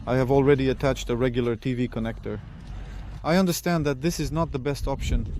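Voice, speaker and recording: A man's voice: medium pitch, a little fast, a little mellow, a little hiss or hum. A man giving a hands-on product review and demonstration, explaining plainly and step by step as the presenter. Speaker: presenter reviewing radio equipment.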